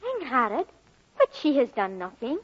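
An earnest, high-voiced boy. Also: wildly swooping pitch, moderate pace, slightly rough, heavily acted. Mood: earnest, worried. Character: earnest, loyal young Welsh schoolboy, a miner's son. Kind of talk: 1940s radio drama acting. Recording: dull old 1940s radio recording.

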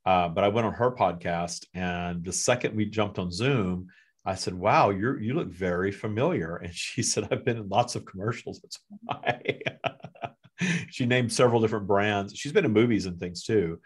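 A man hosting a podcast, talking with a guest in a relaxed, halting way. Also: lowish voice, breathy edge. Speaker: podcast host. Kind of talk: casual conversation.

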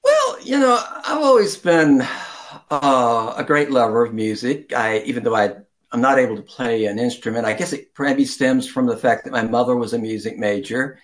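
A man's voice: medium pitch, very animated, moderate pace. Older man, rambling and chuckling. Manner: rambling, chuckling, self-deprecating. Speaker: interview guest, writer. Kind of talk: conversational interview answers.

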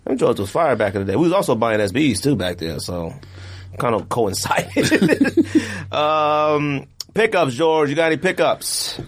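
A man's voice: mid-range voice, sing-song, measured pace. A man hosting a casual podcast in a studio, talking in loose slang and repeating himself for emphasis. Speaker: podcast host. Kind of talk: casual sneaker podcast banter.

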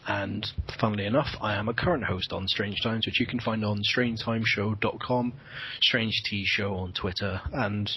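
Crude, joking man, low voice. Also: muffled recording, slight background noise. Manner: crude, jokey banter. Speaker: podcast guest. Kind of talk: casual podcast chat.

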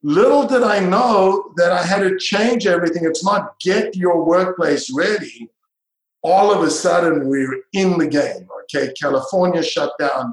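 A middle-aged man born in South Africa and raised in Israel, talking as an entrepreneur guest in an interview, emphatic, with repetitive, drawn-out phrasing. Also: on the high side. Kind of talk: interview conversation. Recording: echoey room.

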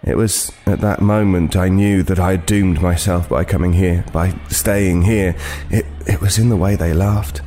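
Man acting a low-voiced, haunted homeless man. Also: steady tone, dark tone, a little hiss or hum, close to an everyday voice. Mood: fearful, hesitant. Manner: halting, hesitant, brooding. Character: haunted, uneasy homeless man telling his tale. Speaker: narrator and lead actor. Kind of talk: dramatic first-person horror narration. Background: music.

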